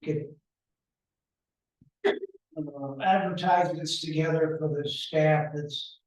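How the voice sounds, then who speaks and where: A man's voice: fairly high pitch, fairly even intonation, slow pace. A middle-aged man at a town meeting, explaining loosely and hesitantly with many restarts. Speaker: candidate for town administrator role. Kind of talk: informal meeting talk. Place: meeting room. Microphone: room mic from a distance. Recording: echoey, distant, dull room recording.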